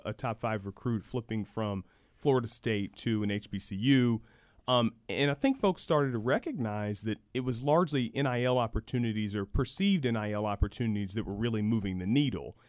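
A man with a mid-pitched voice speaks as a guest on a sports talk show. He explains things emphatically, doubling words for stress, in a dry, close studio sound. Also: darkish tone, no room sound. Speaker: guest, university program director.

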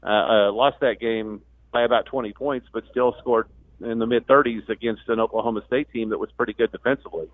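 A man talking as a guest sportscaster on a sports talk radio show, explaining things in a halting way with repeated words. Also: mid-range voice, fairly even intonation, dry recording. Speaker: radio play-by-play announcer as guest. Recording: thin phone-like line.

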